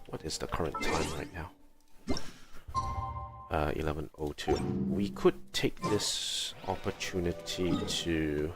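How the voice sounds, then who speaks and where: Very deep-voiced man streaming a game. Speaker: livestream host. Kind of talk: casual gaming livestream commentary. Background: video game music.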